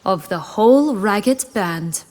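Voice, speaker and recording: An actress playing a proud, bitter banished noblewoman turned bandit leader, wry and measured, in a fantasy game. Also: medium pitch, animated, a little mellow. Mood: bitter, reflective. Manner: wry, measured, dramatic storytelling. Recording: faint ambient noise behind.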